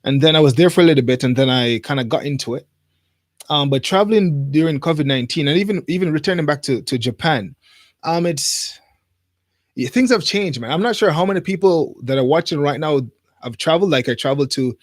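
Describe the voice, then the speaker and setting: A man's voice: on the high side, no echo. Casual male livestreamer, dry close studio sound. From Jamaica. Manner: rambling, informal, pausing to read comments. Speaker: livestream host. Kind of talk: casual livestream chat to viewers.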